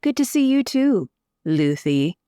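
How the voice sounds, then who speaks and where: A woman's voice: mid-range voice, big pitch swings, measured pace, dark tone, clean tone, no echo. A woman playing a cheeky, casual young noblewoman in a tabletop game, talking in a dry, teasing way. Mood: curious, familiar.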